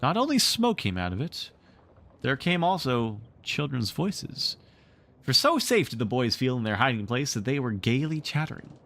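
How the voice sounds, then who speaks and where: A man reading a story aloud as an expressive narrator, shaping each sentence for listeners. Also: medium pitch, moderate pace.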